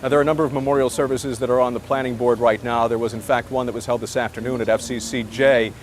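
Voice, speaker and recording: A man's voice: medium pitch, steady tone, fast talker. An American man anchoring live breaking news outdoors from a residential neighborhood. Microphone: handheld broadcast microphone. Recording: live outdoor TV audio with some noise.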